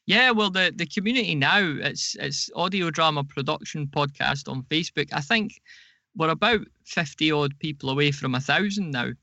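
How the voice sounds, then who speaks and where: A man's voice: fairly high pitch, lively intonation, normal speed, sharp tone, no room sound, lo-fi sound. A man speaking as a podcast guest in an interview, explaining things conversationally, often repeating words and correcting himself.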